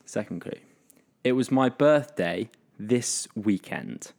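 A man hosts a relaxed podcast quiz, reading clues aloud. His voice sits a little low, and he stumbles through fillers and breaks into laughter easily. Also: takes it slowly, vocal fry. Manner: laughs often, hesitant fillers. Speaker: podcast host running a quiz. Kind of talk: casual podcast chat.

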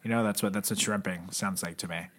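A man's voice: low voice, fairly even intonation, fast talker, fairly bright tone. A man co-hosting a comedy podcast, teasing his partner and riffing on absurd jokes. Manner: teasing, riffs with absurd bits. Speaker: podcast co-host. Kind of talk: casual comedic chat.

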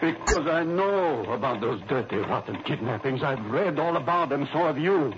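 An actor playing an agitated, tough-talking father in early 1960s radio drama, rough and emphatic in his speech. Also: a little high, lively intonation, normal speed, natural voice. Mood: bitter, insistent. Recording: dull, noisy old radio recording.

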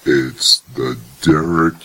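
Podcast sidekick guy, low slow voice, super sharp and forceful, grumbly old school announcer type. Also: steady tone. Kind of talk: announcing and casual banter.

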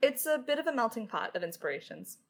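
A woman's voice: a little high, very animated, fast talker. A woman co-hosting a casual question-and-answer chat as a writer, with a wry, conversational way of talking. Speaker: lead writer and podcast co-host.

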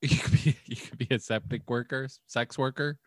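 A man co-hosting a podcast, sharing a news story in casual chat with playful deadpan jokes. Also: medium pitch, normal speed, no room sound, studio quality. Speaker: podcast co-host.

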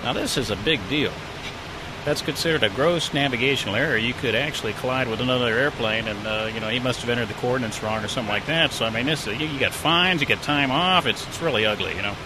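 A man's voice: medium pitch, fast, noisy background. A man who flies airliners, telling aviation anecdotes in a casual, rambling way with lots of fillers and restarts. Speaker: airline pilot telling stories.

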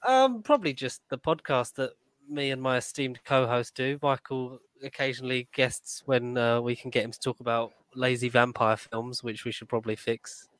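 A man's voice: mid-range voice, moderate pace, fairly bright tone, dry recording. A man speaking as a podcast guest in casual, wry banter. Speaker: podcast guest and co-host of another show.

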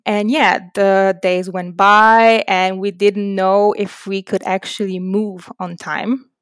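A woman's voice: medium pitch, level tone, takes it slowly, clear, resonant tone, no echo. Young Slovenian woman chatting, dry close sound. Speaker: podcast guest. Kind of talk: casual chat.

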